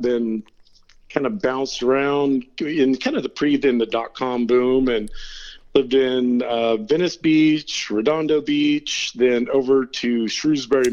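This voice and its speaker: A man's voice: medium pitch, a little mellow. Laid-back middle-aged man, lo-fi sound. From California. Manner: laid-back, laughs between stories. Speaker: interview guest. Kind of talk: casual storytelling.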